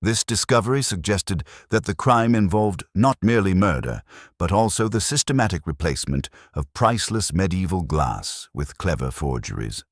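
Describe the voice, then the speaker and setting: A man reading a scripted mystery story as narrator, in a formal, measured literary manner. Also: low-pitched, no room sound, clean studio sound. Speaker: audiobook narrator.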